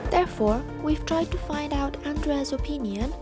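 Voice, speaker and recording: A woman's voice: sits high, normal speed, over loud music. A woman reading a scripted voice-over for a short report. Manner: read-aloud voice-over. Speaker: video narrator. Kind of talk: scripted narration.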